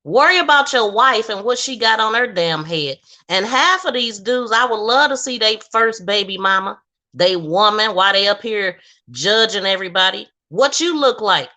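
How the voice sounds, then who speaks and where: Outspoken ohio woman, guest on a chat, bright clear voice, blunt and laughs a lot. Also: medium pitch, lively intonation, normal speed. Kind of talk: casual conversation.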